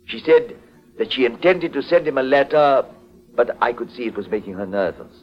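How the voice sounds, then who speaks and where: An actor playing a polite, anxious foreign exporter in a 1940s radio detective drama, speaking formally and hesitantly. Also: male voice, medium pitch, lively intonation, moderate pace, natural voice. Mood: guarded, grateful. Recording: dull old 1940s radio broadcast.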